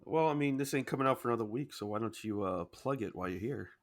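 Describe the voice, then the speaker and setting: A man's voice: medium pitch, lively intonation, fast talker. A young man hosting a casual podcast, joking around and getting over a sinus infection. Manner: jokey, says "y'all" a lot. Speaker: podcast host. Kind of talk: casual chat.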